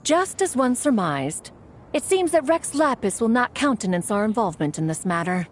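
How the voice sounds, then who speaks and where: A solemn female immortal in a video game. The voice is fairly high, with formal delivery and very varied intonation. There is clear noise in the background. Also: very animated, normal speed. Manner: formal, solemn, archaic wording. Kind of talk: video game character acting.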